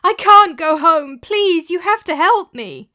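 A woman voicing a terrified, tearful medieval widow who pleads for help, high and strained. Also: expressive, a little slow, bright, forceful tone, exaggerated voice. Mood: pleading.

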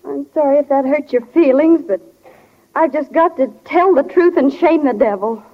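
A woman's voice: high-pitched, normal speed, natural voice. An actress playing an earnest, prim schoolgirl in 1940s radio drama, speaking in a forthright way. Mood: hurt, earnest. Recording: dull, lo-fi 1940 radio recording.

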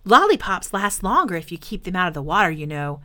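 A woman's voice: on the low side, big pitch swings, a little fast, sharp tone. A woman voicing a clever, cheerful young adventurer who speaks politely and coaxingly.

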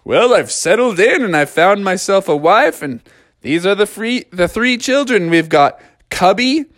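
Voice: mid-range voice, animated, measured pace, no echo, studio quality, pitched above the performer's own voice, close to an everyday voice. A storyteller voicing a proud, friendly papa bear in a raised, chummy voice.

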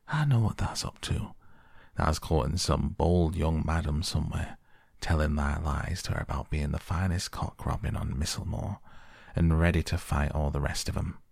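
A gruff old Yorkshire gardener performed by a male narrator, low and gravelly. He grumbles bluntly in dialect, sarcastic with a soft heart underneath, as in a storybook. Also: level tone, unhurried, no room sound, clean studio sound, full character voice. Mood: sarcastic, teasing. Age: older.

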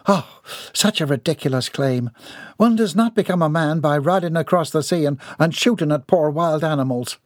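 A man's voice: fairly high pitch, normal speed, no room sound, clean studio sound, voice pushed up, lightly acted. A man voicing a kindly older woman of the house who frets and gushes fondly. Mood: worried. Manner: fretful, fond, gushing.

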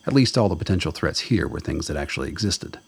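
A man's voice: sits low, expressive, fast, creaky, husky. A man reading scripted narration for a radio drama.

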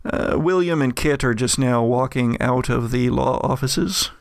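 A man narrates an improvised tabletop game as its game master. His mid-pitched voice has plenty of creak and is recorded very dry. The delivery is informal and wry. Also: a little slow, dry recording. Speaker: game master, podcast host.

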